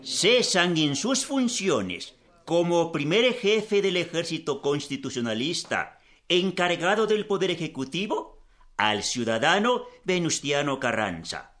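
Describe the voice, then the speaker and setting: Solemn political delegate, high-pitched, sweeping intonation, oratorical, historical radio drama. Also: male voice, big pitch swings, fairly bright tone. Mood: formal, declaring. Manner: oratorical, emphatic, deliberate. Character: solemn male convention delegate proclaiming formal revolutionary resolutions.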